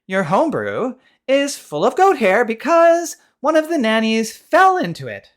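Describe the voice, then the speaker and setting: A woman's voice: a little high, wildly swooping pitch, measured pace, voice pushed up. A woman voicing an exasperated young man with a screechy, scolding delivery in a comic audio story. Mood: despairing.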